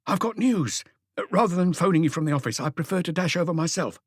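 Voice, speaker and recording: A man voicing an excitable, eager police inspector who reports deferentially to his boss in an audiobook. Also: fairly high pitch, fast talker, no echo, studio quality, voice pushed up. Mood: agitated, eager.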